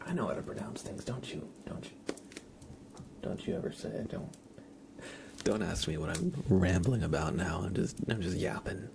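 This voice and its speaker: A man whispers softly as an ASMR livestream host, his voice very low when it surfaces into a murmur. There is a gravelly creak to it. He talks informally and soothingly, as if guiding listeners toward rest.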